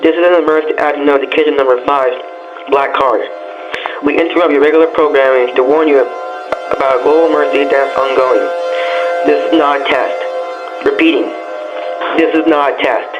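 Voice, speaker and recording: A man's voice: a little high, steady tone, brisk, pauses often. Dull lo-fi male emergency alert announcer. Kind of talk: scripted emergency broadcast announcement. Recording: dull lo-fi broadcast, loud music behind.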